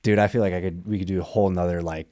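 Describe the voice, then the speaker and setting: A young man from the Midwest talks as a podcast guest, his voice deep and mellow. He rambles loosely, leaning on fillers like "like" and "bro". The sound is dry and close, with no room around it. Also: fairly even intonation, very fast, no room sound. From Madison, Wisconsin. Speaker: guest, MMA fighter. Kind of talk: casual interview chat.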